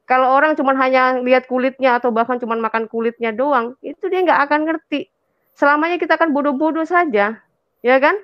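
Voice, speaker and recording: A woman's voice: high-pitched, brisk, no echo, dull audio. An Indonesian woman in an impassioned, conversational religious discussion, emphatic and colloquial, repeating phrases and checking for agreement. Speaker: talk show speaker on religion.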